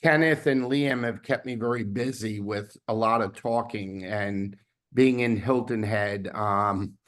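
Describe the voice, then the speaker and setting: A man hosting a weekly team video call, his voice hoarse from laryngitis, rambling with fillers and restarts. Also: lowish voice, a little slow, a bit bright. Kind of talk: casual team announcements.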